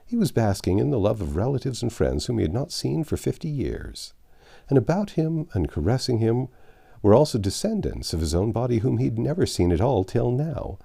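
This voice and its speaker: Expressive male narrator with creaky, mellow voice. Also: mid-range voice, normal speed. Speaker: audiobook narrator. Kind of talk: expressive literary reading aloud.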